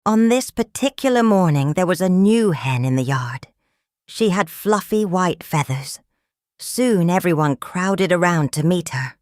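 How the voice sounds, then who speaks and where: A woman reading a children's picture book aloud in a calm, measured, expressive storytelling manner. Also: on the low side, dry recording, clean studio sound. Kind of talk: children's read-aloud narration.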